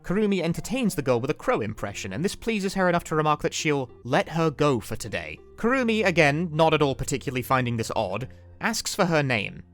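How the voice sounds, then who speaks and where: Man, video essay host, scripted narration, lively up-and-down delivery, wry dry humor, faint music behind. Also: on the high side, brisk.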